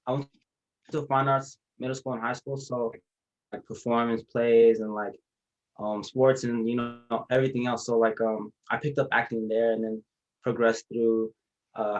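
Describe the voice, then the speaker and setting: A young actor from Los Angeles, relaxed and rambling, with lots of filler words. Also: male voice, mid-range voice, dull audio. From Los Angeles, USA. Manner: relaxed, rambling, many likes. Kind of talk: casual video call chat. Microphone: video call.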